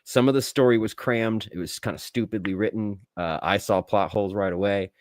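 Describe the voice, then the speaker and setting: A man co-hosting a casual movie review podcast, talking in an excitable, blunt way with plenty of swearing. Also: lowish voice, fairly quick, dry recording, studio quality. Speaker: podcast co-host.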